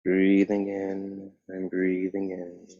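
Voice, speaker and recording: A man guiding a yoga meditation as a teacher, speaking calmly and in measured short phrases with pauses. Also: low-pitched, dry recording. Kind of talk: guided yoga meditation instruction. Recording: dull, muffled lo-fi sound.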